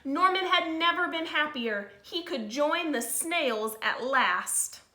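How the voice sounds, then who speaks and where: A woman teacher reading a picture book to children in a slow, expressive way, with informal asides to her pupils. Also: sits high. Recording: roomy, echoey sound.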